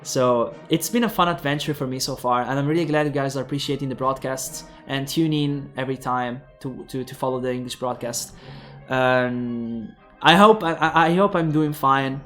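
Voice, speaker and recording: A man's voice: medium pitch, moderate pace, a bit bright, soft background music. A young man with an Italian accent, casting an esports tournament in English and rambling with lots of fillers and restarts. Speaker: esports caster. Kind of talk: esports commentary and sign-off.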